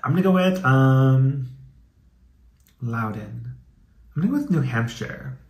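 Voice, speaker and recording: A man's voice: mid-range voice, animated, a little slow, creaky, darkish tone, reverberant. A man doing a casual, comedic dramatic reading with commentary, in a sassy, campy, slangy way with some swearing. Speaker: YouTube host.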